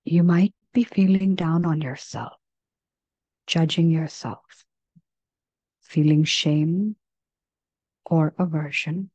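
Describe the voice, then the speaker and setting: A woman leading a guided meditation in a gentle, measured manner, leaving long pauses between phrases. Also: deep voice, steady tone, no room sound, lo-fi sound.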